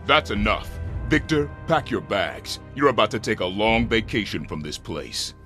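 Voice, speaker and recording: A man's voice: on the low side, expressive, normal speed, over music. A man voicing a powerful creature built of ancient relics, speaking tersely and earnestly in a video game. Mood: stern.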